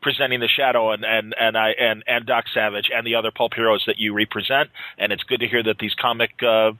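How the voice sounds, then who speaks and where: A man's voice: lowish voice, little pitch movement, brisk, pushed and bright, dry recording, low-quality recording. A man hosting a podcast in a casual, enthusiastic and appreciative way. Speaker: podcast host. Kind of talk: casual interview and show sign-off.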